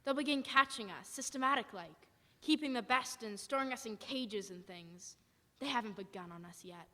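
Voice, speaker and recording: A high, forceful woman's voice rings through a long-echoing hall. She is a wary, defiant militia survivor as a stage actress plays her, blunt and folksy, hammering her points home in old radio drama fashion. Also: lively intonation, moderate pace. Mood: grimly reasoning. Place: church hall stage, live performance. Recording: echoey hall, live performance.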